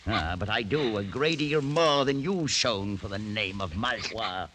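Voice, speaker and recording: An actor playing a cruel, scheming older aristocratic uncle in a mid-century radio drama, speaking with mock courtesy and theatrical relish. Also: male voice, on the low side, animated, measured pace, fairly bright tone. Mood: gloating, mock-kindly. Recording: noisy vintage radio recording.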